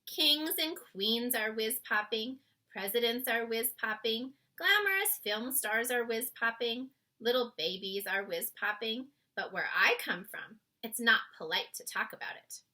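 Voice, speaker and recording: A woman plays a curious young girl from a storybook. She speaks politely and patiently, a little prim, in a light, high voice with a very bright edge. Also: a little slow, hardly any pauses.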